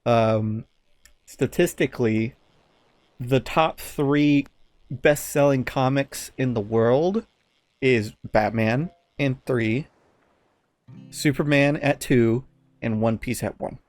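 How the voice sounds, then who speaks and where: A man's voice: medium pitch, slow pace, long pauses, a little mellow, no room sound. A man chatting casually as a podcast co-host, telling anecdotes in a rambling, informal way with some swearing. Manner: rambling, informal, profane at times.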